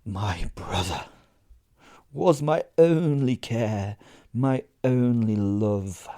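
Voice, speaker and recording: Man acting as female vampire, vengeful, cold, menacing, slow whispery delivery, horror audio drama, dark mellow tone. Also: mid-range voice, lively intonation, dry recording, clean studio sound. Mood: grieving. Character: vengeful, menacing female vampire, coldly grieving her brother.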